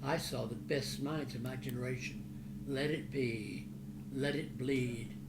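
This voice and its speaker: A man reading literary prose aloud as its author, in a declamatory, dramatic and deliberate manner. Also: mid-range voice, steady tone. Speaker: author reading from own novel. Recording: roomy echo, loud background noise.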